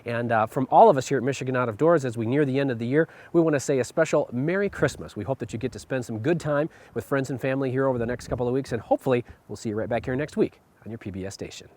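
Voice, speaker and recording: Guy host wrapping up his outdoors tv show, talks fast, friendly. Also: mid-range voice, a little mellow. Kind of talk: casual sign-off to camera.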